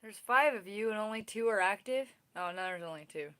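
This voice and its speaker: A woman's voice: mid-range voice, expressive, a little fast, pushed and bright. A woman in her thirties hosting a casual livestream, rambling and chatting straight to her viewers. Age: 30s. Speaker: livestream host.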